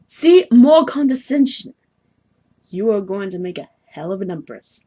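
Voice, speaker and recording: A woman's voice: medium pitch, very animated, measured pace, dark tone, breathy edge. A woman voicing a haughty young male sea troll who schemes and sulks, pompous and petulant, in amateur webcomic acting. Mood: defensive. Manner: pompous, petulant, self-pitying.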